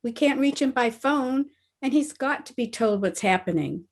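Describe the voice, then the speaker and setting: A woman playing an earnest, naive and excitable teenage schoolgirl in an old-time radio comedy. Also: high voice, moderate pace. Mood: excited, anxious. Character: earnest, scatterbrained teenage schoolgirl, principal's daughter. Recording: remote video-call recording.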